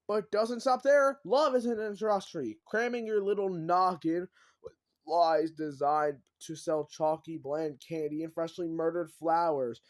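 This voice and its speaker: A man's voice: sits high, no room sound, studio quality. A man voicing a brash chaos demon from a book, theatrical and taunting, like a mocking salesman. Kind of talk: animated audiobook character reading.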